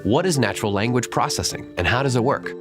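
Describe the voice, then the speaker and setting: A man hosting an interview show, reading questions and an outro in a lively, announcer-like way. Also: medium pitch, fast talker. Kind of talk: scripted voice-over questions. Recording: voice-over with music behind.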